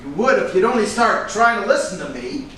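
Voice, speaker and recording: Man playing a high-voiced, pushy film agent, echoey stage. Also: very animated, fairly quick, fairly bright tone. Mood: scolding, pushy. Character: brash, pushy male film agent, excitable and scolding. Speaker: actor in a staged reading. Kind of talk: theatrical staged reading. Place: indoor room at a live staged reading. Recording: echoey room, distant mic, background hiss.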